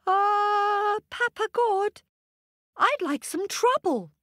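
A woman's voice: very high-pitched, lively intonation, extremely slow, fairly bright tone, no echo, clean studio sound, pitched above the performer's own voice, full character voice. A woman voicing a greedy, curious little male monkey who hoots and stammers in a storybook tale. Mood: eager. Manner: hooting and stammering, squealing with delight.

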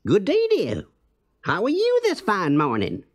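A man voicing a cocky, boastful male rabbit trickster in a squeaky, drawling storybook voice. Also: wildly swooping pitch, a little slow, heavily acted. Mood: friendly.

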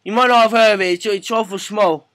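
Man putting on a high-pitched, rambling British haggler. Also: fairly even intonation, fast talker, fairly bright tone, no room sound. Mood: rambling, hesitant. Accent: put-on British. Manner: hesitant, rambling, wheedling. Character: pushy, rambling British immigrant man haggling over a purchase. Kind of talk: prank phone call.

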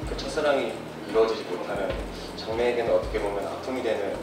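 30s guy, calm and measured, lots of uh, far off in an echoey hall. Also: fairly low pitch, heavy background noise. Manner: measured, filler-heavy. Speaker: actor at a press event. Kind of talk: press interview answers. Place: indoor press conference hall. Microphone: handheld mic.

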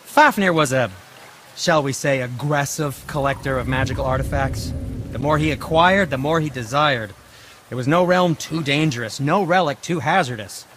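An actor playing a fastidious, chatty dwarf merchant in a video game, theatrical, precise and a little nervous. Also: male voice, on the high side, a bit bright, slight background noise, lightly acted. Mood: chatty, proud.

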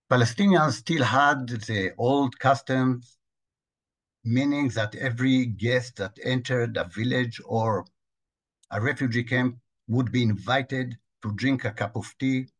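A man's voice: mid-range voice, dry recording. Israeli man in his sixties, dry sound. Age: 60s. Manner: halting, repeats words while searching for English phrasing. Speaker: historian lecturer. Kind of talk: online lecture. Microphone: video call.

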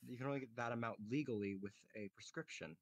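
A man's voice: medium pitch, quick pace. A man playing an online tabletop game, speaking casually in and out of character. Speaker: tabletop roleplay player. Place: online voice call.

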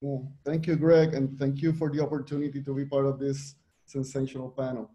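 A man from Puerto Rico speaking as a panelist, halting with many restarts and fillers. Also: medium pitch, level tone, warm tone, reverberant. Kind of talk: presenting on a virtual panel.

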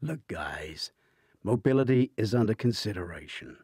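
A man's voice: lowish voice, lively intonation, normal speed, a little mellow, slightly rough, dry recording, clean studio sound, pitched above the performer's own voice. A man voicing a stubborn, earnest tech colleague in an audiobook, defensive and matter-of-fact.

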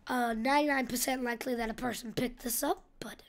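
A woman's voice: fairly high pitch, fairly even intonation, fast talker. A young woman with a scratchy voice giving casual, rambling, slangy gameplay commentary for a video. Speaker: gaming video host.

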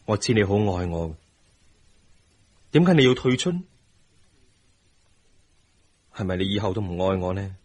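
An actor with a Hong Kong Cantonese accent playing a tender, remorseful young husband in a radio drama, earnest and emphatic. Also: male voice, deep voice, expressive, a little fast, darkish tone, breathy edge. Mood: hurt, remorseful. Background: music.

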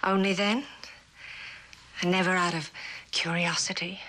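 An actress playing a scientist's poised but uneasy wife, politely probing, in an old suspense drama. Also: female voice, on the low side, little pitch movement, measured pace, close to an everyday voice. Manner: polite, pointed questioning. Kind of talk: mid-century American suspense drama acting. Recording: old broadcast with faint noise.

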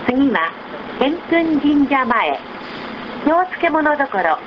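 A woman reading formal, polite scripted bus stop announcements, measured, heard through a vehicle's speaker on an old recorder. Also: sing-song, muffled recording. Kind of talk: scripted public transit announcement. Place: inside a city bus, played over its speaker. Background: loud bus engine and vehicle rumble.

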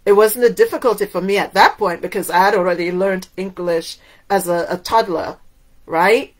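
A woman's voice: mid-range voice, normal speed, low-quality recording. A middle-aged woman from Cuba whose first language is Spanish, preaching a sermon to camera in an emphatic way, asking many rhetorical questions. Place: indoors in front of a waterfall backdrop.

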